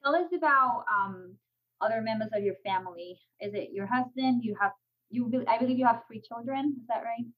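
A woman's voice: a little high, a little fast. A woman co-hosting an interview podcast, introducing the guest and asking friendly, direct questions. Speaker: podcast co-host. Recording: dull lo-fi sound with faint music.

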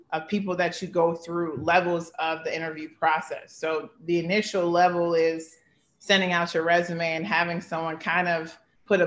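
A woman's voice: fairly low pitch, reverberant. A woman in her thirties, a basketball coach, giving earnest, heartfelt interview answers full of "like" and "you know". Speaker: basketball head coach, guest.

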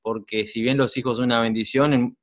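A man's voice: medium pitch, fairly even intonation, fairly quick. A man hosting an interview, asking long winding questions that he restarts and reworks as he goes. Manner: rambling, restarts questions, voseo. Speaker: host.

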